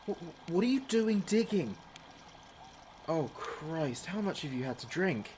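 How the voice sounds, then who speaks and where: An actor playing an alarmed, exasperated, frightened brother at a graveside, halting and exclamatory, in a horror audio drama. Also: male voice, on the high side, sing-song, darkish tone, a little hiss or hum. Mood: shocked, exasperated. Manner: halting, exclamatory, emotionally raw.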